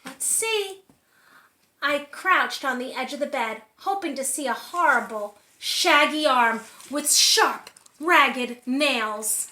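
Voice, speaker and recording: Female storyteller for preschoolers, bright and slow-paced, encouraging, questions the kids, echoey room. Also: sits high, lively intonation. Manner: encouraging, asks young listeners questions. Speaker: read-aloud storyteller for preschoolers. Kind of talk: animated children's storybook reading.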